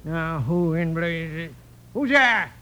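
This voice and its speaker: Wheezy, high-pitched sly outlaw boss. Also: male voice, animated, slow, pushed and bright, soft background music, full character voice. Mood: irritated. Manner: folksy Western drawl, hesitant sly stammering. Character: wheezy, sly old-West outlaw boss recruiting gunmen. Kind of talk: old-time radio Western acting. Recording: noisy vintage radio recording.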